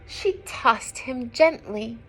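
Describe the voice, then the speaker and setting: A woman reading a picture book aloud to young children, expressive and gentle, often dropping into hushed asides to her listeners. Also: a little high, takes it slowly, breathy edge, low-quality recording. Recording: some background noise.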